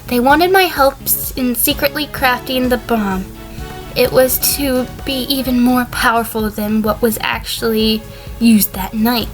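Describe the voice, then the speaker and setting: Wary ex-conspirator sheep on the witness stand, played by a woman; higher register, dry, measured audio drama delivery. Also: steady tone, soft background music, natural voice. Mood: composed, wary. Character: female sheep defendant, a wary, composed former conspirator. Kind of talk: fan audio drama acting.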